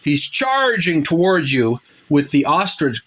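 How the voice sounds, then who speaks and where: A man hosting a hobby show, describing illustrations in a casual, unscripted way, halting and rambling as he searches for words. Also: fairly high pitch, big pitch swings, fairly bright tone, poor audio. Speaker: host of a retro video game art book show.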